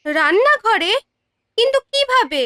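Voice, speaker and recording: A woman's voice: very high-pitched, big pitch swings, unhurried, pushed and bright, slight background noise, exaggerated voice. A woman playing a questioning, supportive village wife in a cartoon fairy tale, exclaiming as she talks. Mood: puzzled. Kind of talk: cartoon storybook acting.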